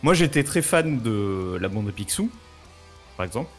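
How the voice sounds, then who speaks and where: A man's voice: lowish voice, big pitch swings, moderate pace. Man chatting casually over music. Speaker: co-host on a live show. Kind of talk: casual chat.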